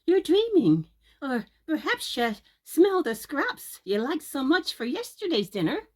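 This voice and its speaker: The ogre's great tall wife, acted by a woman, bossy and bustling. Her pitch is raised and swings up and down as she scolds briskly in storybook fashion. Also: big pitch swings, darkish tone. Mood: soothing, bossy.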